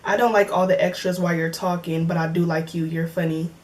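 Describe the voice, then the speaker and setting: A woman's voice: fairly low pitch, steady tone, brisk, darkish tone, distant mic. Chatty joking woman of twenty. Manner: chatty, joking, slangy, laughs often. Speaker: vlogger answering viewer comments. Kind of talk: casual chat. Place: home filming setup with cameras and lights.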